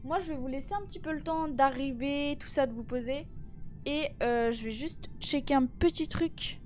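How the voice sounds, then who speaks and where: Tired, high-voiced teenage girl streaming. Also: moderate pace, a little mellow, faint music behind. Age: teens. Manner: chatty, greets viewers, many fillers and restarts. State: tired and stuffy from a cold. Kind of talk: casual live-stream chat.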